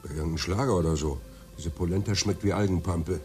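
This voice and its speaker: An actor playing a blunt, grumbling friend in a radio play. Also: male voice, deep voice, brisk, mellow, faint music behind, lightly acted. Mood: gruff. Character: gruff, blunt pub friend. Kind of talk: radio drama acting.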